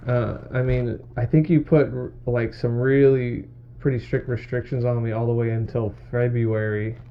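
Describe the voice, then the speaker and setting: A man's voice: medium pitch, little pitch movement, normal speed, slight background noise. A man talking as a patient at a clinic visit, hesitant and agreeable, with many restarts. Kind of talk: casual conversation. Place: clinic exam room. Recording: dull lo-fi phone recording.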